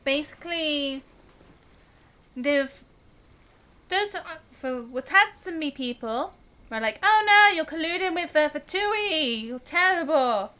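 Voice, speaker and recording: A woman's voice: high-pitched, lively intonation, slow, pushed and bright. A woman game streamer giving casual live-stream commentary in a chatty, giggly way. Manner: chatty, giggly, mimics the characters.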